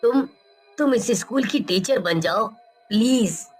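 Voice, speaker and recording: Woman doing a friendly young cartoon guy, coaxing, slow talking, bit of music behind. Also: a little high, expressive, husky, exaggerated voice. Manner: coaxing, admiring. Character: friendly, persuasive young male dance school owner. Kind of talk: Hindi cartoon story acting.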